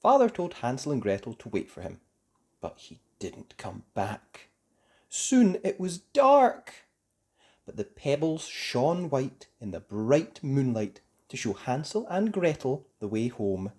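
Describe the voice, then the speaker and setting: A children's story reader narrating a fairy tale. The delivery is theatrical and emphatic, with very lively rises and falls in pitch. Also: slow, breathy edge. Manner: theatrical, emphatic for young listeners. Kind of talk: expressive scripted storybook narration.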